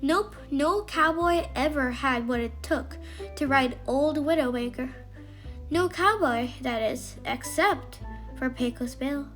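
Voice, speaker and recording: A woman narrating a scripted children's bedtime story in an expressive, emphatic way. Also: high-pitched, slow, faint music behind.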